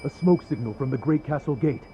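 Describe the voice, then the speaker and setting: A man's voice: mid-range voice, a little fast, very noisy, natural voice. A man voicing a wary castle soldier on lookout in a video game, speaking in a subdued, matter-of-fact way. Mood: watchful. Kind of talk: dramatic video game voice acting.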